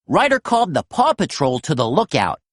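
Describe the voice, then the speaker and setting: A woman narrating a children's story as an animated read-aloud storyteller. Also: sits low, fairly quick, dry recording, clean studio sound.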